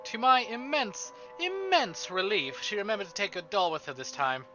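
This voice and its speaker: Male streamer, slightly high voice, theatrical visual novel narration and casual chat, music behind, band-limited sound. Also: animated, moderate pace, dull audio.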